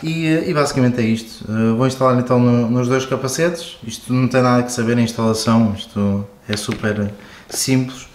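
A man's voice: medium pitch, steady tone, brisk. A man with a European Portuguese accent gives a casual, informal, explanatory product review and walkthrough. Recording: roomy echo with music behind. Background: background music.

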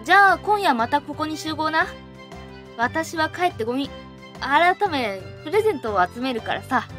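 A woman's voice: high-pitched, expressive, normal speed, fairly bright tone, soft background music. A woman playing a mischievous tomboyish young witch in an anime-style fan voice drama, brash and boyish in her speech. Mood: cocky, scheming.

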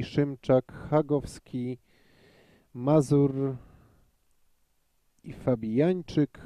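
A man giving live pool commentary in a measured way, thinking aloud with frequent hesitations. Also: mid-range voice, warm tone, no room sound.

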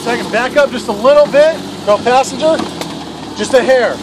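A man on a rocky trail calling out steady directions to a driver. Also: very high-pitched, normal speed. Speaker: off-road spotter. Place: outdoor rocky canyon trail. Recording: engine noise behind.

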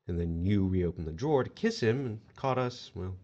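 A man's voice: deep voice, expressive, moderate pace, dark tone, highs cut off. An actor playing an officious otherworldly male collector of souls in an audio horror drama, wry and formal as he explains procedure. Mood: officious, explaining.

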